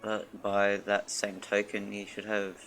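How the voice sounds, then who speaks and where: A man's voice: on the low side, completely flat delivery, a little slow, a bit bright, faint music behind. A man live-streaming a video game, commenting and reading dialogue aloud.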